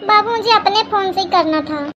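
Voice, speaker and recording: A woman's voice: very high voice, normal speed, a bit bright, highs cut off, a little hiss or hum. A woman voicing a young modern daughter-in-law in a comedy cartoon, sweet-talking and demanding, with a whiny, coaxing manner.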